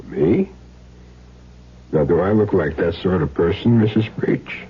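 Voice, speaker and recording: An actor playing a tough, world-weary hired gun in a radio drama, blunt and streetwise in a measured way. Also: male voice, deep voice, expressive, noisy background. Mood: wry, firm. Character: tough, world-weary male hired hitman with a soft spot. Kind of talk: 1970s radio drama acting. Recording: muffled old radio recording.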